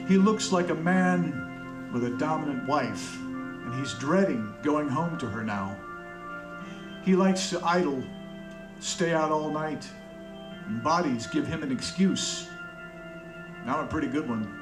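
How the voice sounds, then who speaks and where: Slow, sardonic dead man. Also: fairly high pitch. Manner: deliberate, wry, brooding. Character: bitter, sardonic murdered man narrating as a corpse. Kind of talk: radio drama monologue. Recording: echoey, music underneath.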